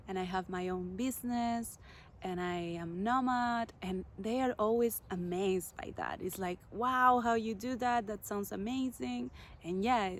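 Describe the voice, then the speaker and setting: A woman from Chile hosting a podcast, telling her personal story slowly and reflectively, pausing to search for words. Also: mid-range voice, a little hiss or hum. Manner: slow, reflective, searching for words with soft pauses. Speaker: podcast host.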